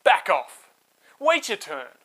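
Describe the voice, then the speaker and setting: Man playing an earnest, impulsive young hero, bright voice. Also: high voice, wildly swooping pitch, slow pace, pitched above the performer's own voice, exaggerated voice, rough recording. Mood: indignant. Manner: earnest, exclamatory.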